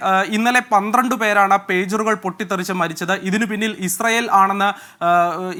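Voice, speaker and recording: A man at the news desk giving a live news briefing, speaking steadily and informatively but often pausing with hesitation sounds. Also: high voice, bright, forceful tone.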